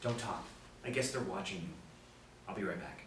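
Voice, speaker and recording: A man's voice: deep voice, normal speed, lightly acted. A man reading the part of a worried young student at a table read of a TV pilot. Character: worried young male student, unseen onlooker. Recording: echoey room, distant mic, clear noise.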